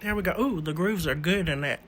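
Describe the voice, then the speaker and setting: A man's voice: fairly high pitch, fairly quick, fairly bright tone, breathy edge. A man presenting an informal, hands-on demonstration of antique recording equipment, explaining each step as he goes.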